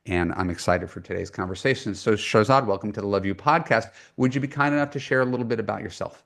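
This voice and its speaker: A deep-voiced man hosts a dating-coach podcast. He talks in a loose, chatty way, full of ums and false starts, and pokes fun at himself. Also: fast, vocal fry, fairly bright tone. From United States. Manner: chatty, self-deprecating, many fillers and restarts. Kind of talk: conversational podcast intro and coaching.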